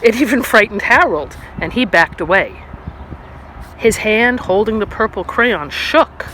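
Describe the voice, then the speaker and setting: A storyteller reading a children's picture book aloud outdoors, with expressive delivery and asides to young listeners. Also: medium pitch, unhurried, poor audio. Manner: addresses young listeners directly with questions and asides. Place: outdoors on a stone wall by grass. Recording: outdoor recording with faint ambient noise.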